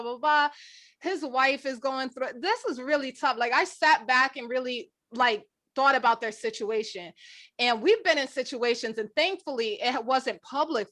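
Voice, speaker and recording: A woman's voice: high-pitched, sharp tone. A woman co-hosting a casual faith-based talk show who talks earnestly, thinking aloud, and laughs often. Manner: quick to laugh. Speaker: podcast co-host.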